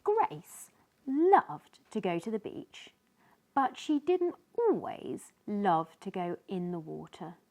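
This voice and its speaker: A woman reading a children's picture book aloud in an animated way, as if to young listeners. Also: medium pitch, slow. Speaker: children's story reader, host.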